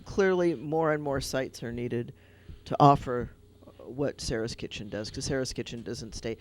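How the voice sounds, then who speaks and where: A man, a rabbi hosting a radio talk show, chatting casually and telling a children's story in an animated way. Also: mid-range voice, normal speed. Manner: animated storyteller, retelling a fable.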